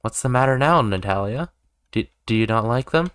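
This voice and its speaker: A man's voice: medium pitch, animated, normal speed, fairly bright tone, clear, resonant tone, faint music behind, natural voice. A man voicing a doting, anxious and clingy young woman in a visual novel read-aloud. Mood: worried.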